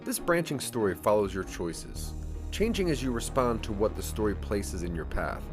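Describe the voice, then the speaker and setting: A man's voice: lowish voice, very animated, normal speed, music in the background. A man narrating a scripted audio drama in the second person, with a dramatic storytelling manner.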